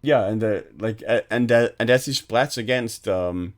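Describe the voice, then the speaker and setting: Low-voiced man retelling film scenes. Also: moderate pace. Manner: rambling, full of ums and restarts, laughs while retelling scenes. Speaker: podcast co-host. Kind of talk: casual film discussion.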